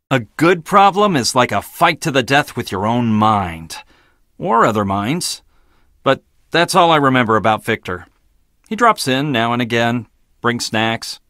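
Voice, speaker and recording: An actor playing an arrogant, blunt, logical research scientist in a video game, with a clipped, dismissive, pedantic delivery. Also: male voice, mid-range voice, a bit bright, no echo, clean studio sound.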